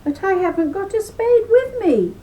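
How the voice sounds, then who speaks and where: A woman voicing a big, greedy, eager young man who blusters and booms in a children's folk tale. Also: very animated, normal speed, mellow, far from the mic, rough recording. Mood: eager, naive. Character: big greedy blustering Irish farm lad.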